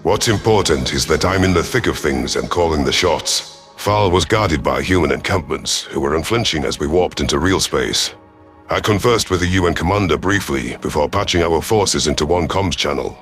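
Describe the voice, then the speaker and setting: Male reader as gruff alien rebel commander: very low, proud, sardonic, stern, first-person sci-fi narration. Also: lively intonation, moderate pace, fairly bright tone, soft background music, full character voice. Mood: stern, measured. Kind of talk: first-person scripted audio story narration.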